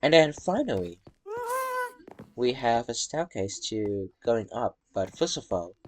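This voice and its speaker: A man's voice: mid-range voice, very animated, a little hiss or hum. Tired, rambling young man narrating a tour. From Indonesia. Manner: halting, rambling, many fillers and restarts. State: tired from talking. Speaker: Minecraft world tour vlogger. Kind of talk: casual gameplay walkthrough narration.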